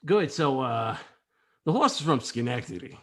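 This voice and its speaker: A man playing a clueless, confused radio caller in a comedy table read, stammering and halting as he talks. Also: medium pitch, sing-song, fairly bright tone.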